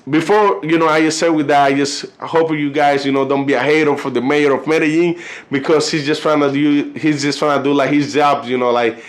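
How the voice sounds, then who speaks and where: A man's voice: a little high, steady tone. A man from Colombia with a Colombian Spanish accent, a native Spanish speaker talking casually to camera as a vlogger, rambling with lots of fillers and stumbling when he reads aloud. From Cartagena, Colombia. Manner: loose, rambling, constant "you know" and "like" fillers; halting when reading aloud. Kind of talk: casual video chat.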